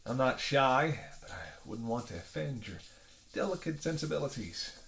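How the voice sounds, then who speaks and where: A man's voice: medium pitch, expressive, moderate pace, creaky, noisy background. A man playing a sardonic, playful vampire who banters sarcastically with his hunter in an audio roleplay. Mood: teasing. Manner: sarcastic, playful, drawling asides with sighs and scoffs. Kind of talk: audio roleplay acting, one-sided dialogue.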